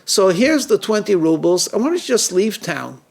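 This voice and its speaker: A man's voice: sits high, sing-song, moderate pace, vocal fry, voice pushed up, heavily acted. A man voicing a cold-blooded, lying businessman in a told story, evasive and falsely reasonable. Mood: grudging.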